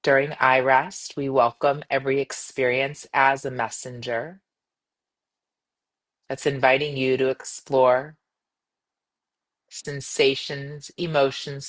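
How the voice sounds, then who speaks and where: Woman meditation guide: gentle, soothing delivery, measured phrasing, long pauses between instructions. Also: a bass voice, level tone, poor audio. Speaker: yoga nidra meditation guide.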